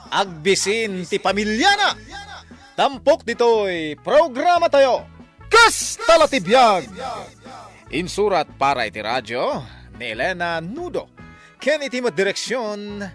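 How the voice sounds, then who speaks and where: A male radio drama announcer with a Filipino Ilocano accent. He speaks in a high, slow, theatrical voice with sweeping intonation over music. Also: big pitch swings, fairly bright tone.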